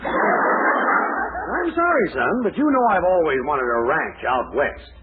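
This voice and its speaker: An actor playing a blustery, scheming middle-aged storekeeper who cajoles breezily in a 1940s radio comedy. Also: male voice, on the high side, wildly swooping pitch, slow pace, a crowd in the background, full character voice. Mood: breezy, smug. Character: blustery, scheming older male storekeeper, breezily self-serving. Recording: narrow-band 1940s radio with audience noise.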